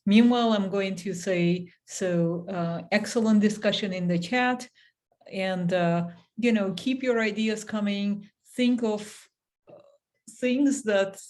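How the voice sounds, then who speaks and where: A woman whose first languages are Armenian and Russian, chatting casually with an online audience as an organizer. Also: medium pitch. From outside the United States. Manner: relaxed and chatty. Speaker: event organizer.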